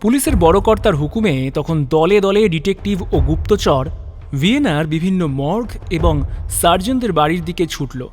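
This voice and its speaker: Male narrator for a crime audio story, slightly high voice, dramatic scripted delivery, light music bed.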